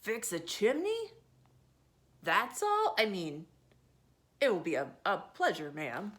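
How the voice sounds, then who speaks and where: A man's voice: high-pitched, sing-song, slow pace, sharp tone, full character voice. A woman voicing a flustered older male sailor who stammers and bluffs his way along. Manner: stammering, hesitant.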